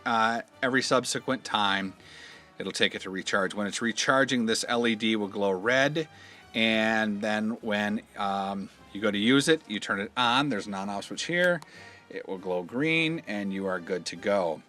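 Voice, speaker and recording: A man giving an informal, step-by-step product demonstration, explaining in a halting way as he goes. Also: on the low side, bright, forceful tone, faint music behind. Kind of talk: hands-on instructional demonstration.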